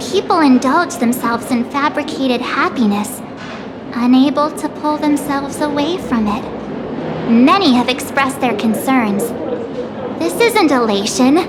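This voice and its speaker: A woman voicing an earnest young female amateur sleuth in a video game, with a deliberate manner. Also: sits high, noisy background. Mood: grave, concerned. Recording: loud music behind the voice.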